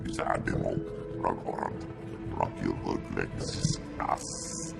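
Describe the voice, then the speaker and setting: A man voicing a hulking reptilian alien in a video game, with slow, guttural, growling speech in an alien tongue. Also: a bass voice, monotone, creaky, loud music behind the voice, full character voice. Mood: guttural, deliberate.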